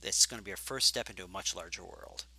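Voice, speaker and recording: A man walks through code in a live lecture, talking his way through each step and catching his own slips. His voice is low, with a gravelly fry and a sharp, tense brightness, and it sounds worn and hoarse. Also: quick pace. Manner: thinking aloud, self-correcting. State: getting hoarse. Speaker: programming lecturer.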